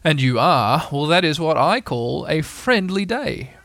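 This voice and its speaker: A good-natured, simple toy bear from a storybook, acted by a man. He sounds amiable and thoughtful, pitched up and a touch hesitant. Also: big pitch swings, unhurried, a bit bright, no echo, full character voice.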